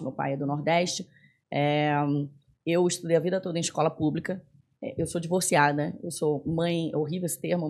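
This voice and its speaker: A woman in her thirties from northern Brazil talks as a podcast guest, with a gravelly, slightly husky voice. She tells her story animatedly, filling pauses with small hesitations. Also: low voice, a little mellow. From Belém, northern Brazil. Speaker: guest, tech executive. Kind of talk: casual podcast conversation.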